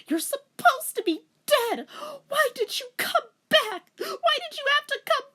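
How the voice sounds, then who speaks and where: Woman putting on a high, melodramatic young warrior heroine. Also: very animated, a little slow, sharp tone, exaggerated voice. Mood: anguished. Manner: overwrought, theatrical.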